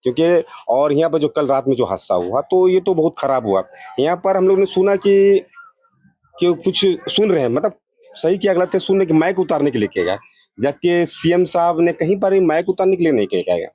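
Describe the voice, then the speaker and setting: A man gives his opinion as an interviewed local resident, talking quickly in a soft-edged, mellow voice. He is earnest and repeats his points for emphasis, and the sound is dry and close. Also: fairly high pitch, lively intonation, no room sound. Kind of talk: street interview, opinion statement.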